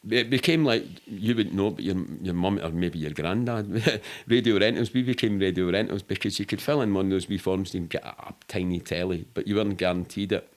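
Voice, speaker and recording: A man's voice: sits low, a little fast, hardly any pauses. A middle-aged Scottish man telling stories casually as an interview guest, rambling and sweary in a matter-of-fact way. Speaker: podcast guest.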